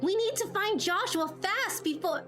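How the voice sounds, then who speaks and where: A woman's voice: extremely high pitch, lively intonation, normal speed, pitched above the performer's own voice. A woman voicing an impulsive, anxious teenage heroine in game cutscenes, urgent and stammering. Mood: panicked. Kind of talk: amateur game cutscene voice acting. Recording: music behind the voice.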